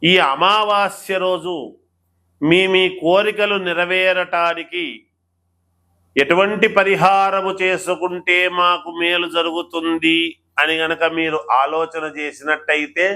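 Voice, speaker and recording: A man speaking as an astrologer, explaining remedies to viewers in a deliberate, instructional way and repeating phrases. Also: high voice, flat delivery, creaky, pushed and bright. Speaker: astrologer presenter.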